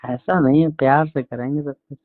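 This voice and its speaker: A man's voice: fairly high pitch, fairly even intonation, moderate pace, a little hiss or hum. Coaxing man on a tinny phone line. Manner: coaxing, teasing. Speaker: boyfriend on a phone call. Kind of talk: casual intimate phone chat. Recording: tinny, band-limited phone call recording.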